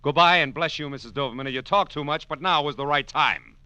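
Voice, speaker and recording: A man's voice: fairly high pitch, brisk, pushed and bright, clear, resonant tone, dry recording. An American man playing a wry, tough private detective who narrates his case in 1940s radio drama style. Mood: sardonic. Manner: wry, sardonic, slangy. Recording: dull old radio broadcast recording.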